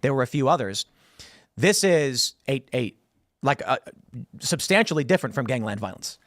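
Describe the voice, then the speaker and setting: A man in his thirties hosting a political talk show in a studio, telling stories animatedly with frequent restarts, on a boom-arm studio mic. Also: moderate pace, dry recording. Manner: animated storytelling with many restarts and gestures. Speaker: podcast host. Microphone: studio mic on boom arm, headphones.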